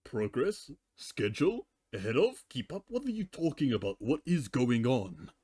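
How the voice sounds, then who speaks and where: A man's voice: medium pitch, sing-song, normal speed, mellow, slightly rough. A man voicing a small, pudgy male cartoon dragon sidekick, anxious and questioning. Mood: bewildered. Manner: questioning, bewildered.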